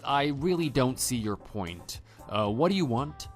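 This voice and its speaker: Level-headed husband for audio drama, male actor: measured, skeptical, mid-pitched, faint music bed. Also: sing-song. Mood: wary, impatient. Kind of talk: scripted texting-story radio drama.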